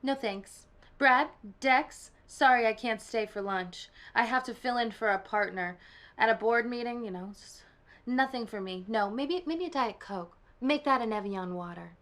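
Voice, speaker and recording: A woman's voice: fairly high pitch, moderate pace, a bit bright, lightly acted. An actress playing a self-assured corporate businesswoman who talks in a clipped, decisive manner in an acted game scene. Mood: brisk, decisive.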